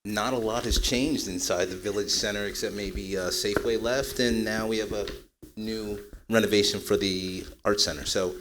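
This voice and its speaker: A man's voice: lowish voice, fairly even intonation, moderate pace, over music. A man introducing himself on a panel, casual and hesitant with fillers. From Columbia, Maryland. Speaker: panelist, artist.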